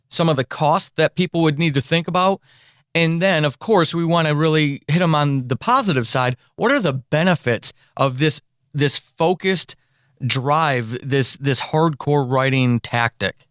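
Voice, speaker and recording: A man hosting a podcast interview, talking conversationally and deliberately as he works toward his questions. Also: fairly high pitch, fairly bright tone, dry recording, studio quality. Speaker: podcast host.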